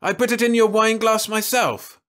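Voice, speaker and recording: A man voicing a bitter, volatile man stranded on an asteroid, shouting and agitated, in a science fiction story reading. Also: high voice, expressive, fairly quick, pitched above the performer's own voice.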